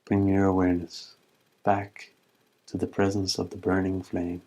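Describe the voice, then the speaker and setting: A man leading a guided meditation as a teacher, speaking calmly and in measured phrases with long pauses. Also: low-pitched, low-quality recording.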